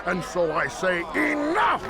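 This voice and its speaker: An actor playing a decadent, resentful merchant lord in a video game, with grandiose, mock-courteous oratory and a creaky voice. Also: male voice, high voice, sing-song, measured pace, crowd noise. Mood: wounded, indignant. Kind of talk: dramatic video game cutscene acting.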